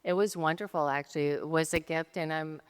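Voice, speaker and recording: Woman, panelist, smooth lowish voice, effusive and grateful, long hall reverb. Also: steady tone, a little fast. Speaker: actress panelist. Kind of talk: panel talk.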